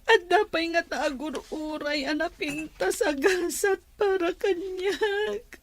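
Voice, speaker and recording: A woman's voice: very high-pitched, takes it slowly. A woman playing a distressed young daughter who speaks tearfully and pleadingly.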